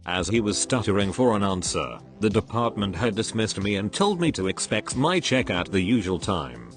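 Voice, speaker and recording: A man's voice: on the low side, sing-song, normal speed. A man reading a scripted first-person story as a narrator for online listeners. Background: background music.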